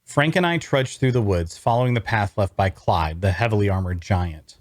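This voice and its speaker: A man playing a brooding adventurer, narrating his grim journey in a measured, deliberate way at a scripted table read. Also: lowish voice, no room sound, clean studio sound, lightly acted.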